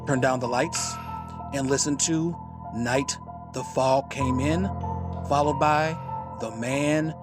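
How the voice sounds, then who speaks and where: Male podcast host: very slow, deliberate, medium-pitched introductions over loud music. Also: steady tone. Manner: deliberate, pausing. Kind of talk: slow introduction of old radio plays.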